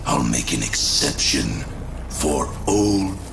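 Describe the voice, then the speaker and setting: A man playing an old evil general in a video game, gravelly and pompous, with theatrical, gloating menace. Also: extremely low pitch, sing-song, slow pace, noisy background, heavily acted. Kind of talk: video game villain acting. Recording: loud game music over voice.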